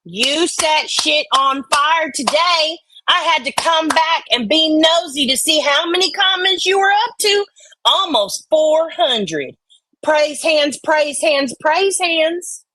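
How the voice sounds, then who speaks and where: A woman's voice: high voice, slow pace, pushed and bright, slight background noise, rough recording. A woman co-host doing irreverent, sweary dramatic readings of online comments mixed with banter.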